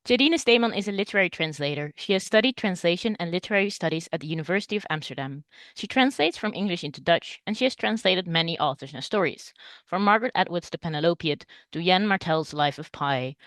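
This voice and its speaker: A woman's voice: fairly low pitch, animated, fast, fairly bright tone, dry recording. A woman hosting a podcast interview, introducing her guest and asking questions in a friendly, attentive way. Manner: friendly, attentive, frequent backchannel murmurs.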